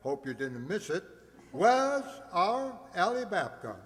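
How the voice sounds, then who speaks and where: A man's voice: high-pitched, big pitch swings, slow. A man acting as live announcer, introducing a cast with a jokey showman's manner. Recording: reverberant hall, audience noise.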